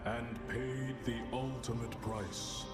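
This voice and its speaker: Man acting a slow, solemn epic narrator. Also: mid-range voice, steady tone, natural voice. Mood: solemn, ominous. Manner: solemn, portentous. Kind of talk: grave scripted story narration. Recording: echoing voice under loud music.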